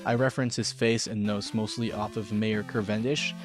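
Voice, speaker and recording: A man's voice: fairly low pitch, fairly even intonation, normal speed. A man hosting a casual, narrated art tutorial in a chatty, informal, self-deprecating way. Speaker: host of an art tutorial show. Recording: background music under voice. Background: music.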